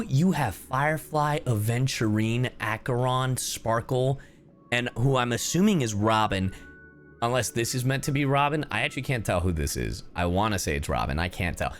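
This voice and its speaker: A man giving casual game reaction commentary, brash and expressive, with lots of swearing and slang. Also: mid-range voice. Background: game music.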